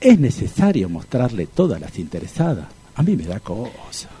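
An actor playing a bumbling, naive older bachelor in a radio comedy, stammering and repeating himself in a Rioplatense Argentine accent. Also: male voice, mid-range voice, huge pitch swings, normal speed, warm tone, slightly rough, full character voice. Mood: fussy, naive. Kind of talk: radio comedy sketch acting.

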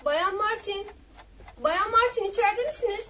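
An actress in a radio drama playing a polite, insistent hotel employee, calling out loudly. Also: female voice, extremely high pitch, moderate pace, slight background noise. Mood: urgent, polite. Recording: dull lo-fi radio recording.

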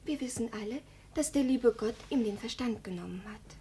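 A woman performs an earnest village child in a radio play. The voice is fairly high, hushed and reverent, like a dreamy young storyteller. A faint bed of noise lies behind it. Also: moderate pace, close to an everyday voice. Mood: earnest, loyal. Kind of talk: German radio play acting.

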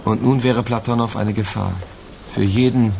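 An actor playing a serious police detective who reasons matter-of-factly in a German radio crime drama. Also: male voice, lowish voice, steady tone, moderate pace, gravelly, a little mellow, slight background noise. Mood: analytical, serious. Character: male police detective colleague, analytical and serious.

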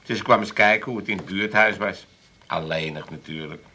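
An actor playing a gruff, sentimental old family father in a comedy radio play, with an Amsterdam accent and a halting, dramatic delivery. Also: low voice, pushed and bright, full character voice. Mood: dreamy, excited. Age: older. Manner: dramatic, halting, repeats words when moved.

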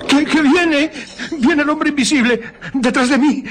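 A frantic male fugitive from a radio play. His high voice pleads for shelter in sheer panic, over a slightly noisy recording. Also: measured pace. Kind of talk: radio drama acting.

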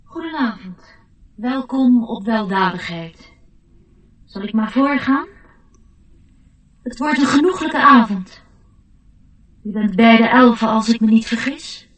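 A woman's voice: on the high side, takes it slowly, long pauses. A woman playing a courteous, proud glow-worm in mourning, formal and wistful, in a radio play. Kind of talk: Dutch radio play acting. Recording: distant-sounding radio play recording.

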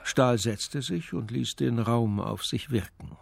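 An actor as the narrator of a radio crime drama, reading scripted narration in a measured way. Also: male voice, mid-range voice, gravelly, faint music behind. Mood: observant.

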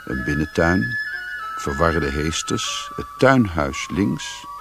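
An actor as a grave storyteller narrating a radio play in a deliberate, literary manner. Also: male voice, a bass voice, sing-song, creaky, over music, heavily acted. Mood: mysterious, vivid. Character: grave storyteller recounting a mysterious journey.

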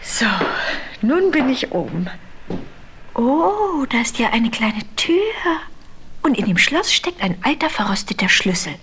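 A woman's voice: on the high side, sing-song, measured pace, fairly bright tone, exaggerated voice. An actress playing a curious, girlish teenage princess full of theatrical wonder in a fairy-tale radio play on old vinyl. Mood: uneasy, excited. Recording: noisy old vinyl record.

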